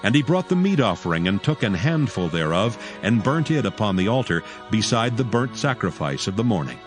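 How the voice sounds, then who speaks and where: A man narrating scripture in a steady, solemn, measured reading. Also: lowish voice. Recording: narration over clear music bed. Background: music.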